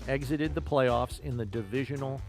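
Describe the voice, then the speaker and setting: A man's voice: medium pitch, normal speed, faint music behind. A man hosting a sports podcast, talking and quizzing with an emphatic delivery. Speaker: podcast host. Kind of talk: sports talk and trivia quiz.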